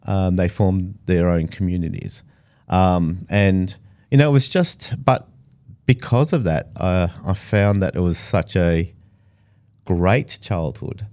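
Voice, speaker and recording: A man's voice: sits low, vocal fry, dark tone. A middle-aged Australian man, first language Italian, talking casually as an interview guest with many fillers and restarts. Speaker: engineer guest.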